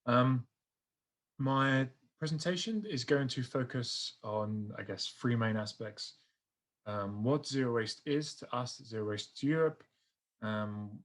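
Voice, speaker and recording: A man with a British accent and a fairly low voice gives a recorded presentation as a programme coordinator. His phrasing is informal and a little hesitant. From United Kingdom.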